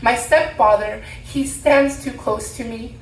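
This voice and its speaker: Female spoken word poet, thirties, emphatic and repetitive delivery, recorded off-mic with faint noise. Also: on the high side. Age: 30s. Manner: emphatic, with deliberate repeated phrases. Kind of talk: spoken word poetry performed live. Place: room at a live poetry event. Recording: roomy, distant mic, faint background noise.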